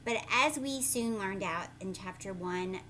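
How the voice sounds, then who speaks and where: A woman teacher reads aloud to children in an expressive, emphatic way, at an average pitch for a woman. She mixes storytelling with explanatory asides, with faint noise in the recording. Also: a little slow, low-quality recording.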